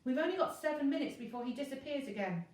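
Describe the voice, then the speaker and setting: A woman's voice: high voice, lively intonation, fast talker, a little mellow, voice pushed up. Woman as an urgent young boy hero, heavy echo. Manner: urgent, exclaiming. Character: brave, frantic schoolboy adventurer. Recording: very echoey room, distant mic.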